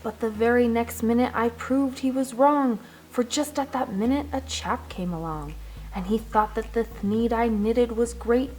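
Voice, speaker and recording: A woman reading a rhymed children's storybook aloud in an expressive, rhythmic way with dramatic emphasis. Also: a little high, measured pace, slight background noise, poor audio. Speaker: children's storybook reader, teacher.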